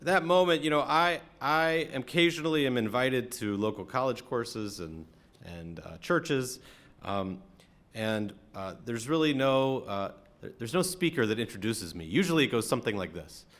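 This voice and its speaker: An American man from Atlanta speaking as a rabbi, giving a conversational, reflective sermon with frequent halting pauses. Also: mid-range voice, expressive, a bit bright. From Atlanta, American South. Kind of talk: sermon, conversational talk to congregation.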